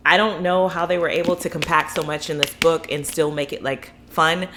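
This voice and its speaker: Low-voiced chatty woman book vlogger. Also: steady tone, fairly quick, noisy background. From Florida, USA. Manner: chatty, rambling, enthusiastic, many fillers. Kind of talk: casual book review vlog.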